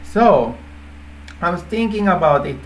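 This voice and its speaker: Male presenter, native Finnish speaker with Finnish accent; unhurried, hesitant explaining, light noise behind. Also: high voice, expressive, low-quality recording. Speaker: app developer presenting a feature idea. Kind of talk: informal explainer to camera.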